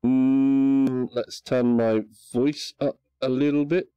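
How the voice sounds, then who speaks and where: A man chatting casually on a VR game livestream, relaxed and hesitant, with many ums. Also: medium pitch, slow pace, clean tone, no echo. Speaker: livestream gamer.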